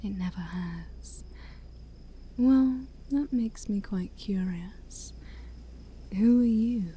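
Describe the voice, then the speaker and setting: Woman playing a dark, breathy, ancient demon princess. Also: medium pitch, a little hiss or hum. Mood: haughty, piqued. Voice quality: breathy, often near-whispered. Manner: slow, teasing, haughty, theatrical. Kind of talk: soft-spoken ASMR roleplay.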